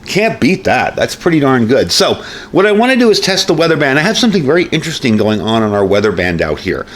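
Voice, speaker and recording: A man's voice: medium pitch, sing-song, fast. A man giving a casual, chatty product review with informal, folksy phrasing. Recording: clear background noise.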